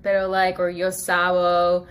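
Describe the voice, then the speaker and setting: A woman from the United States hosting an interview, chatty and quick to relate her own experience. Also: lowish voice, monotone, clear, resonant tone. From USA. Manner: chatty, laughs easily, relates personally. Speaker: podcast host. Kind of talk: casual interview chat.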